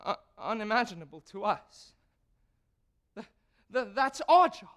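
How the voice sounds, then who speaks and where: A woman playing an excitable young male composer on stage, stammering and giggly in theatrical bursts. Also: mid-range voice, a little slow. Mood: rapt, building.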